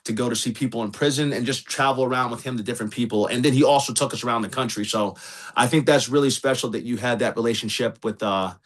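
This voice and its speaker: A man's voice: mid-range voice, fast. A man from Virginia hosting a podcast interview, affirming his guest and asking friendly questions. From Virginia, USA. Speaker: podcast host. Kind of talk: casual interview.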